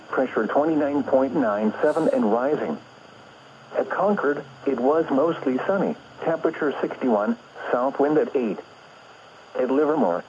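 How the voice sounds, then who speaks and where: A synthetic male announcer with an American accent reads a scripted weather bulletin over the radio in formal, impersonal, measured phrasing. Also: mid-range voice, darkish tone. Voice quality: synthetic text-to-speech voice. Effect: radio broadcast. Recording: dull lo-fi radio reception, noisy.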